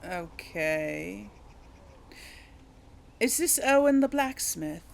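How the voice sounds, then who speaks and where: A woman's voice: medium pitch, expressive, extremely slow, vocal fry. A woman giving casual, musing game commentary, thinking aloud and speaking replies in character. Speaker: gaming video host.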